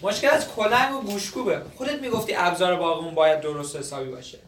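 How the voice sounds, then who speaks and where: A young caregiver in a drama, playful and patient, with an animated, teasing manner. He is recorded at a distance in an echoey room with faint noise. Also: high voice. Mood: coaxing. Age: young adult. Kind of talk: naturalistic dramatic acting.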